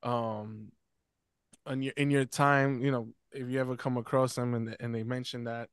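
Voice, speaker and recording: A young man talks earnestly from a small room at home, hesitant and full of fillers. His voice is clean and smooth, delivered in a steady, level tone, and close and dry on the desk mic. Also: medium pitch, moderate pace, no echo. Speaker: podcast guest speaker. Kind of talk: casual panel discussion. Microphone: close desk mic on stand.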